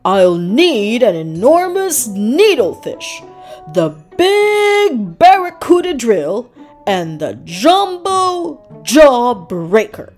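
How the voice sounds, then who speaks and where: Woman voicing an excitable, emphatic male dolphin dentist. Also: on the high side, faint music behind, full character voice. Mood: dramatic. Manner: emphatic, exclaiming, drawn-out words. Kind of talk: children's storybook character reading.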